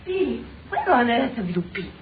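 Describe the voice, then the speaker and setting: An actress playing a composed, solicitous British wife, brisk and soothing, in an old-fashioned radio drama. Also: female voice, fairly high pitch, sing-song. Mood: concerned. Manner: brisk, soothing, matter-of-fact. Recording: tinny, noisy old radio recording.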